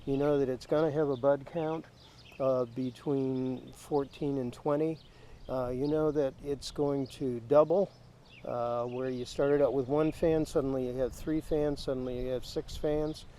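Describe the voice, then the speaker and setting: Halting, mellow man talking outdoors. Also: mid-range voice, fairly even intonation, slight background noise. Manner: halting, with fillers and restarts. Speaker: daylily grower. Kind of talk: casual explanatory interview answers. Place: outdoor garden.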